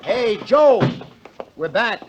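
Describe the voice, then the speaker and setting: An actor playing an easygoing young navy veteran diver with an American accent, breezy and colloquial, in old radio drama style. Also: male voice, very high voice, big pitch swings, takes it slowly, lo-fi sound, over music, full character voice. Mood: jovial, teasing. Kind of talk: 1950s radio acting, first-person narration. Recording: vintage 1950 radio recording.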